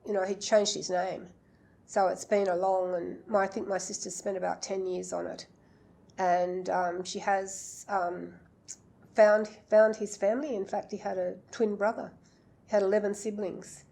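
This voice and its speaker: Older lady, flat gravelly voice, halting and reflective, thinks aloud. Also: mid-range voice. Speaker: interviewee. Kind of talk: documentary interview.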